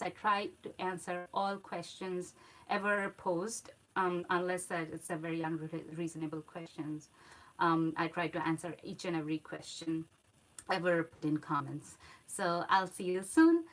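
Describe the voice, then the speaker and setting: Soft-spoken woman, slow with fillers. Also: medium pitch. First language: not English. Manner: slow, with many fillers and run-on sentences. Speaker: art channel host. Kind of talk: casual livestream chat.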